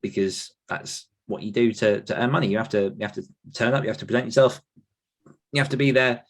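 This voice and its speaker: Low-pitched British man chatting casually. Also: brisk. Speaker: podcast guest and author. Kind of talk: casual personal anecdote in conversation.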